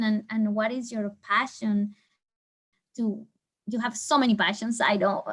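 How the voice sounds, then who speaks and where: A woman's voice: a little high, a bit bright. A woman leading a video call meeting, rambling through long questions with many restarts. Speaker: program leader.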